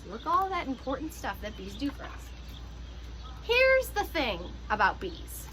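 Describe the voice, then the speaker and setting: A woman who teaches pre-K, reading a picture book aloud to young children in an animated, encouraging way with teacher asides. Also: high voice, moderate pace, background noise.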